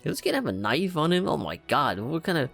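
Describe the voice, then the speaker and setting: A man reacts casually to a video game in a slangy, joking way. His pitch moves around a lot, and faint game music runs behind him. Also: big pitch swings, fairly quick, creaky, fairly bright tone. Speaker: gaming video creator. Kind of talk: casual reaction commentary over gameplay.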